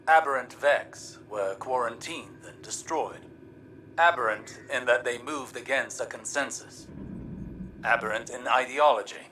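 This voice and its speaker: A man's voice: medium pitch, pushed and bright, slight background noise, low-quality recording. An actor playing a scholarly man in a video game, speaking in a precise, inquisitive way.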